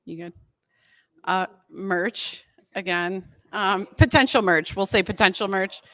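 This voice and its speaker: A woman's voice: medium pitch, a little slow, pushed and bright, no echo. A woman presenting a brand design on a live stream, casual with frequent ums.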